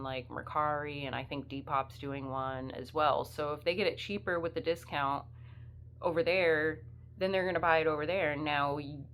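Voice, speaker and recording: Sniffly low-voiced woman vlogger. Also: fairly bright tone, slight background noise. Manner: chatty, rambling, many fillers. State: sniffly with the flu. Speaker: part-time reseller. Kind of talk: casual vlog chat.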